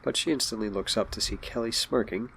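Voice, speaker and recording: A man's voice: on the low side, brisk, gravelly, a bit bright, a little hiss or hum. A man reading scripted story narration for an audio drama. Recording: music behind.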